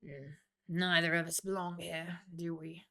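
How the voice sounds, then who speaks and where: Audiobook role: dangerous, cunning male fighter played by a woman narrator, slow, menacing, creaky low-key delivery. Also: flat delivery, sharp tone. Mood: sly teasing. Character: predatory, cunning male guild champion, slyly courting.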